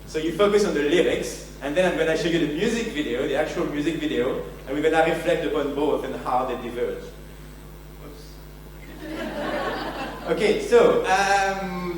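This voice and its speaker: A man's voice: on the high side, moderate pace. French man lecturing in an echoey hall. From France. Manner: joking and self-deprecating. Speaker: keynote speaker. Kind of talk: conference keynote lecture. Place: large conference venue. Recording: very echoey hall, distant mic, faint hum.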